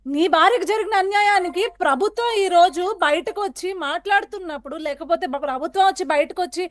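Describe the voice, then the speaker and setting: A woman speaking in a heated television political debate, forceful and accusatory, firing rhetorical questions. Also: very high-pitched, fast talker. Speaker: political panel guest.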